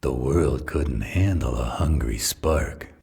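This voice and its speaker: A man voicing a gentle, patient werewolf in a slow, soothing bedtime roleplay, with a husky growl. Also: animated, no room sound, exaggerated voice. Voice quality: very deep gravelly husky growl. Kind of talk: intimate bedtime roleplay audio.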